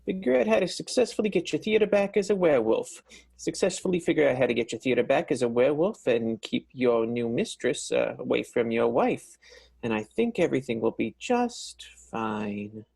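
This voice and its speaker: A man's voice: medium pitch, animated, moderate pace, creaky, darkish tone, breathy edge. A man playing a kindly, heartbroken theater manager turned werewolf in an improvised radio drama, speaking hesitantly and repeating himself. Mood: reassuring, halting. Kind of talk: improvised radio soap opera acting.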